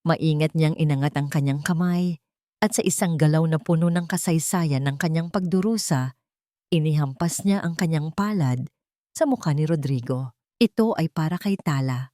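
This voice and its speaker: A woman with a Filipino accent narrates a scripted audio drama in a measured, solemn, theatrical way. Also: sits low, warm tone, no echo, studio quality.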